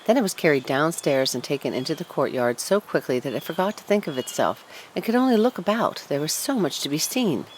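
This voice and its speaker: A woman narrates a storybook in a deliberate, expressive way. Her voice is slightly low and moves widely in pitch, and the recording carries faint background noise. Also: rough recording. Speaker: audiobook narrator.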